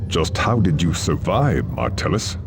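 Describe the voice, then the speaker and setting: An actor playing a hot-tempered, scornful space marine in a game, blunt and contemptuous in manner. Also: male voice, sits low, big pitch swings, unhurried, vocal fry, background noise, full character voice. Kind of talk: scripted video game cutscene acting. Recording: loud music over the voice.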